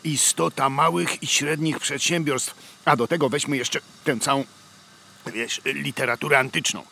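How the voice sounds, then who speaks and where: Self-important street philosopher for radio comedy; bright, creaky, slangy and grandiose. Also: male voice, mid-range voice, steady tone, normal speed, a little hiss or hum. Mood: pompous, enthusiastic. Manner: colloquial slang mixed with mock-learned words. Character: male street-drinker philosophizing pompously about his way of life. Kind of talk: comic radio play dialogue.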